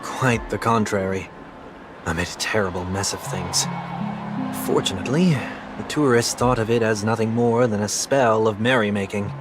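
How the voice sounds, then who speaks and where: An actor voicing a fastidious, formal young man of authority in a video game, speaking in a measured, precise way with quiet self-criticism. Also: lowish voice, over loud music, close to an everyday voice. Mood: reflective, measured.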